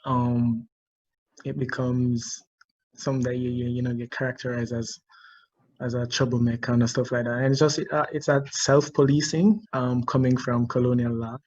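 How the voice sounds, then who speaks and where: A Jamaican man shares a personal story over a video call, lively and quick to laugh at himself. His voice sits in a middle range. The audio is a bit muffled and dull. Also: medium pitch. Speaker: discussion participant.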